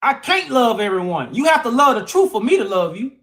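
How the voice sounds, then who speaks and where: A man's voice: extremely high pitch, very animated, a little fast, fairly bright tone. A man co-hosting a religious live-stream panel, arguing and explaining with emphatic repetition and casual grammar. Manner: emphatic, repeats phrases, informal grammar. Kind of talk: heated live-stream debate and preaching.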